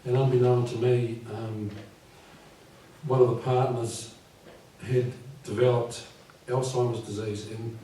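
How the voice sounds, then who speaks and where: A man in his fifties with a croaky voice, reading his poems at a live event and speaking gently and unhurriedly. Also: mid-range voice, level tone, unhurried, slight background noise. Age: 50s. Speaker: poet. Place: live venue with audience. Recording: echoey room from far off.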